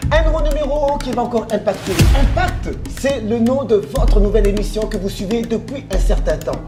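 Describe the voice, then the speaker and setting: Energetic male host over music. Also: very high voice, a little fast. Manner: energetic, hyping the audience. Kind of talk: show intro and casual chat. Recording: music behind the voice.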